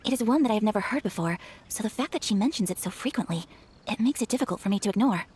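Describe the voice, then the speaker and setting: A woman's voice: mid-range voice, lively intonation, rapid-fire, darkish tone, husky. A woman playing a refined, dutiful young noblewoman in an anime game, speaking formally and earnestly with careful politeness. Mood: earnest, confiding. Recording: background music under the voice.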